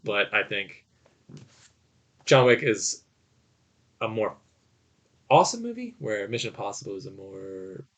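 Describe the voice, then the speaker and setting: A man's voice: on the low side, animated, unhurried, pauses often, a bit bright. A man chatting casually about movies as a podcast co-host. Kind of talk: casual conversational film chat.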